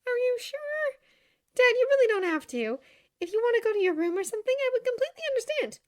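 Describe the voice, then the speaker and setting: A woman's voice: extremely high pitch, big pitch swings, quick pace, voice pushed up. A woman voicing an earnest, caring young daughter, anxious and exclamatory in her manner. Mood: gentle doubt. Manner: bright, exclamatory, fussing.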